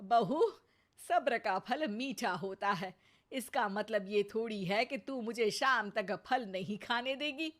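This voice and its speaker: A woman's voice: on the high side, slow. A woman voicing a stubborn, greedy elderly mother-in-law in a cartoon, bossy and theatrical. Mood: demanding. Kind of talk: cartoon voice acting.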